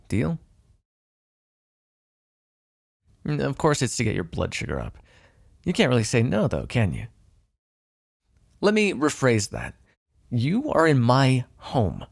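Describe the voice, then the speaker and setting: A man's voice: medium pitch, vocal fry, a little mellow, no room sound, clean studio sound. A man playing a flirtatious, sardonic vampire in an ASMR roleplay, talking in a casual, teasing way with a hint of menace. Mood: wry, teasing, then menacing, commanding. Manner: teasing, wry, casual conversational delivery.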